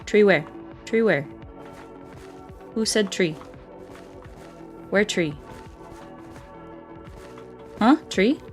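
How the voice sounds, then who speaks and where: A woman's voice: medium pitch, drawn-out words, pauses often, soft background music. A woman streaming a video game, chatting casually and playfully with viewers in a scatterbrained way, often repeating her words.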